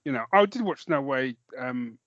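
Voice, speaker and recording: A British man talks casually as a podcast co-host at a middling pitch. He rambles and restarts his sentences often. The recording is dry and a little dull. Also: lively intonation, moderate pace, dry recording. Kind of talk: casual chat about films and comics.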